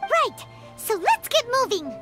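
A woman voicing a tiny, childlike fairy companion in a video game, excitable, nosy and blunt. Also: extremely high pitch, a little slow, over loud music, full character voice. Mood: curious, eager. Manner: exclamatory, questioning. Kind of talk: video game character acting.

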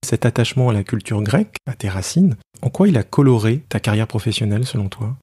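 A man's voice: lowish voice, lively intonation, quick pace, mellow, no echo. A man hosting a coaching podcast, reading a scripted intro, then putting questions to his guest. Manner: clear, polished presenter delivery.

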